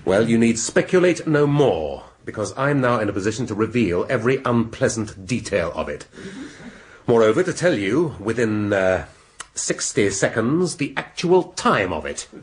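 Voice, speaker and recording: An actor playing a shrewd middle-aged English police inspector in a radio drama, speaking deliberately and rhetorically with dramatic pauses. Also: male voice, lowish voice, sing-song. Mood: commanding. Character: shrewd, theatrical male police inspector laying out a murder case.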